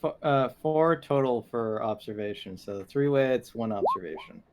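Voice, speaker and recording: A man's voice: mid-range voice, wildly swooping pitch, normal speed. A man playing a tabletop game, chatting casually and repeating words while he thinks.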